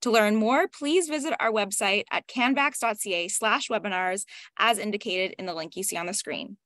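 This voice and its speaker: A woman, a webinar moderator reading a prepared introduction over a video call in a measured way. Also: mid-range voice, fairly bright tone, dry recording.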